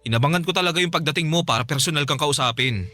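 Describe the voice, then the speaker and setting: Radio drama ex: young male, desperate and lovesick, rapid, emotional pleading over soft music. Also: on the high side. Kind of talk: Filipino radio drama acting.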